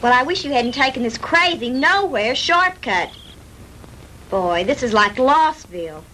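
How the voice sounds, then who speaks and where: A woman's voice: sits high, lively intonation, a little slow, sharp tone, heavily acted. An actress playing a peppy, complaining young girlfriend in a 1960s B-movie. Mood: complaining, uneasy. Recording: noisy 1960s film soundtrack.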